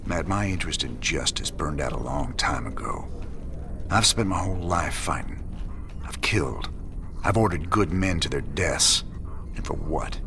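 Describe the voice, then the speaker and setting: An actor playing a gruff, weary rebel commander with a Southern American accent and a laconic drawl in a space western game. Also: male voice, rumbling low, normal speed, noisy background. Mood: weary, bitter. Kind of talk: video game cutscene acting. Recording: music behind the voice.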